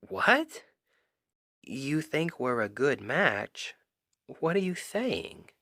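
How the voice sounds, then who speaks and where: A man acting a shy, earnest best friend in an intimate ASMR roleplay, speaking softly and haltingly. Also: fairly high pitch, very animated, creaky, no echo, full character voice. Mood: surprised, flustered. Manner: hesitant, halting, soft-spoken. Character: shy, earnest male Cupid best friend confessing love.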